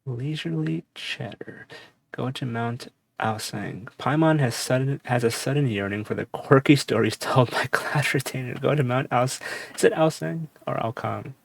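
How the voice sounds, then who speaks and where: Gravelly man streaming a video game. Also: medium pitch, normal speed. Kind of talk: casual gameplay commentary, reads game text aloud. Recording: faint game music behind. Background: game music.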